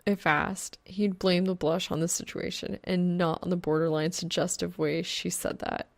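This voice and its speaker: A woman narrates an audiobook of fan fiction. Her voice sits a little low and has plenty of vocal fry. The reading is expressive and scripted. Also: moderate pace.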